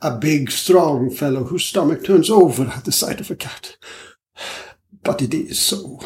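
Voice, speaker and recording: A man reading an audiobook voices a nervous, shaken foreign gentleman who pleads haltingly. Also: fairly high pitch, expressive.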